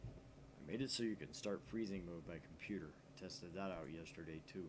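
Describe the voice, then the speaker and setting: Man as a low, laid-back computer geek. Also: moderate pace, a little mellow, slight background noise, close to an everyday voice. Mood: matter-of-fact.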